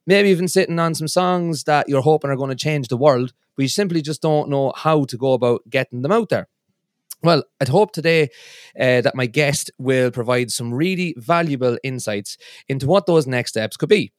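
A man's voice: medium pitch, no echo, clean studio sound. A man hosting a music podcast, talking in a friendly, casual way with frequent restarts. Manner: friendly, chatty with many restarts. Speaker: podcast host.

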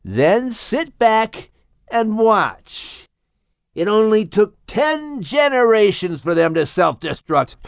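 A man voicing a menacing, boastful king cobra villain in a dramatized children's audiobook, with a gloating, taunting, drawn-out delivery. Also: a little high, creaky, dry recording, studio quality, heavily acted.

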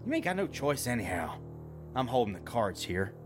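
A man playing a rough, greedy kidnapper who talks in crude rural slang. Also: medium pitch, very animated, moderate pace, lightly acted. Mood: menacing. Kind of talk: amateur audio drama acting. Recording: music under the voice.

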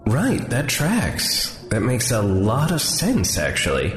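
Theatrical, sardonic man, wildly animated. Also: medium pitch, takes it slowly, reverberant, in a large hall, soft background music. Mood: playful, sardonic. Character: theatrical, playful, grandly silly sardonic man. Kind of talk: audio drama acting.

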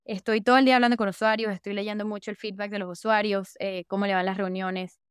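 Smooth-voiced enthusiastic Venezuelan woman. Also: medium pitch, flat delivery, quick pace, no room sound, clean studio sound. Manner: enthusiastic, repeats "de verdad que" and "súper". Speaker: podcast guest, user researcher. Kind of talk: casual interview chat.

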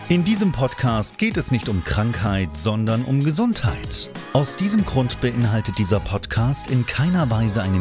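A man reading a scripted podcast intro with a sweeping, announcer-like delivery. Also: mid-range voice. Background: music bed.

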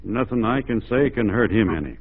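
An actor playing an easygoing, plain-spoken Texan cowboy in a radio drama, with a folksy, unhurried manner. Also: male voice, on the low side, lively intonation, slightly rough, narrow, tinny audio. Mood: steady, frank. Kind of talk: 1940s radio drama acting. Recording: thin band-limited 1940s radio recording.

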